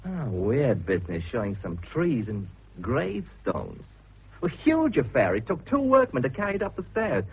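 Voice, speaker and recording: A man's voice: mid-range voice, huge pitch swings, moderate pace, slightly rough, highs cut off, full character voice. An actor playing an earnest young British man recounting an eerie mystery in a radio drama, with a suspenseful storytelling manner. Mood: bemused. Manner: storytelling, suspenseful, hesitant asides. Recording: thin, noisy old radio recording.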